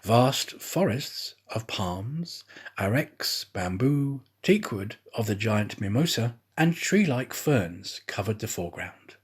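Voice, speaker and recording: A man reading a classic novel aloud as a storyteller, with a theatrical, measured delivery. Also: lowish voice, big pitch swings, breathy edge. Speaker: storyteller, audiobook reader. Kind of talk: scripted narration of a classic novel.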